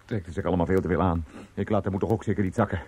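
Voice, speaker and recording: A man's voice: sits low, fairly even intonation, racing, darkish tone, a little hiss or hum, lightly acted. A man playing a hearty, fatherly fellow with a blustery, familiar manner in a Dutch radio play. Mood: blustering. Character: hearty, fatherly man soothing, then boasting.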